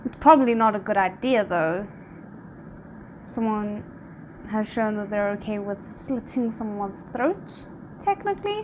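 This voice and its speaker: A woman's voice: medium pitch, lively intonation, a little slow, pauses often, a little hiss or hum, poor audio. A woman hosting a reaction video, talking casually and expressively, with hesitant phrasing that trails into asides. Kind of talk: casual reaction commentary to camera.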